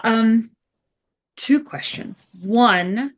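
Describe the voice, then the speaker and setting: A woman's voice: fairly high pitch, extremely slow. Enthusiastic woman podcast host. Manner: chatty, enthusiastic, rambling questions. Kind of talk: casual interview chat.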